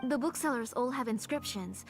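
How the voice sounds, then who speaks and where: A woman playing a composed, secretive, controlling aunt in a video game, speaking in a measured and formal manner. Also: a little high, faint music behind, close to an everyday voice. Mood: defensive.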